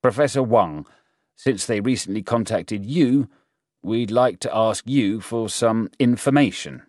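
A man's voice: lowish voice, a little slow, darkish tone, dry recording, studio quality, pitched above the performer's own voice. A man voicing a commanding, formal general in an audiobook, speaking in a firm, official and emphatic way. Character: commanding, formal male general briefing a meeting.

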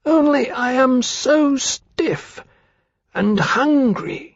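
A tiny newborn dragon speaks in a very high, thin, pale voice. The words come slowly and faintly, as from a frail but hopeful storybook creature. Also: male voice, animated, dry recording, muffled recording, exaggerated voice. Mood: weak, hopeful. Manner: slow, faint, halting. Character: small, frail, newly hatched young dragon, weak and hopeful.

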